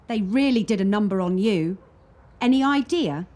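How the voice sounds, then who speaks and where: A woman's voice: medium pitch, lively intonation, moderate pace, darkish tone, close to an everyday voice. A woman acting in a radio play as a young gymnast confiding with her wary teammate. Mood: probing, frank.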